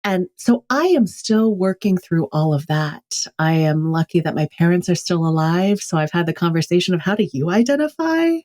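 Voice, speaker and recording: Thoughtful low-voiced woman guest. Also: expressive, normal speed, few pauses, darkish tone. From California, USA. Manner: thoughtful, candid. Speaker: author and guest. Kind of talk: reflective interview talk.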